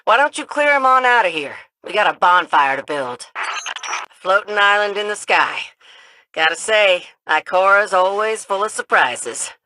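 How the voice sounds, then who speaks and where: Bright, folksy game heroine. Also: female voice, mid-range voice, animated, a little slow, no room sound, low-quality recording. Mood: reflective, warm. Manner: folksy, informal drawl. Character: folksy, upbeat, battle-ready woman guiding a fighter. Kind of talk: video game mission voice lines.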